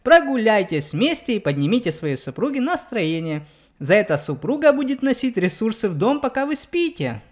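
A woman's voice: fairly high pitch, big pitch swings, normal speed, a little mellow. A woman giving explanatory game commentary for children, speaking directly to her young viewers. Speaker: let's play narrator on a children's channel.

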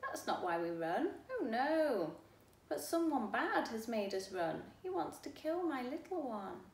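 A woman's voice: on the high side, big pitch swings, measured pace, echoey room, recorded from a distance. Woman as an anxious mother cow. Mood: fearful. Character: anxious mother cow protecting her calf.

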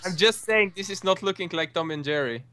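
A man's voice: sits high, fairly quick, pushed and bright, clean tone. A man playing a game with friends and making wry, joking casual banter. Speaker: player in group gameplay.

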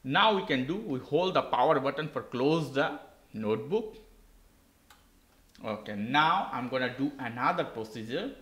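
A man's voice: mid-range voice, expressive, normal speed, fairly bright tone, echoey room. A man hosting a tech tutorial, walking through a laptop reset step by step in simple, non-native English. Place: indoor desk.

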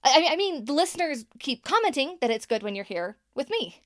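A woman's voice: sits high, sing-song, fairly quick, dry recording, studio quality. An actress playing a nervous young woman who hosts a podcast, rambling and laughing as she talks. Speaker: podcast host. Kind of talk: audio drama acting.

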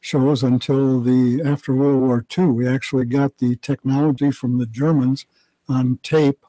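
A man in his seventies, a guest historian, explaining things haltingly in a radio studio interview. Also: mid-range voice, little pitch movement, dark tone, no echo, poor audio. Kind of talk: informative interview talk. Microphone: boom studio mic.

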